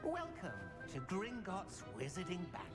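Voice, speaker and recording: A proper, clipped goblin bank guide in a video game. His voice sits high and swoops widely up and down. Loud game music plays underneath. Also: huge pitch swings, measured pace, roomy sound, distant mic, full character voice. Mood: formal. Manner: formal and clipped. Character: formal old male goblin bank guide.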